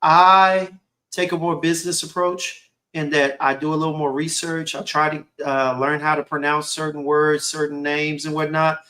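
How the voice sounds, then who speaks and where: A male host speaks with a slightly high voice in an easygoing conversation. He rambles and stacks up fillers like "whatnot" and "you know", with lively, animated energy. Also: normal speed. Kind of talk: casual conversation.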